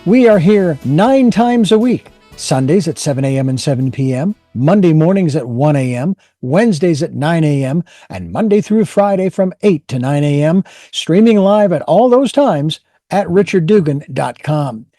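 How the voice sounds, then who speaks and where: A man's voice: a little high, lively intonation, moderate pace, dark tone, dry recording, faint music behind. A man hosting an interview show, speaking in a rambling way with many fillers and restarts. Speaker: podcast host.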